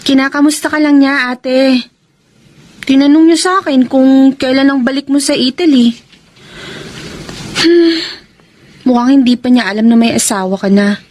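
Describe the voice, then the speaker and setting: An actress as a high-pitched, frank Filipino little sister. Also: female voice, moderate pace, long pauses, a little mellow, natural voice. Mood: probing. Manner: probing, earnest, reproachful. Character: earnest, frank younger sister, nosy and caring. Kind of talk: Tagalog radio romance drama acting.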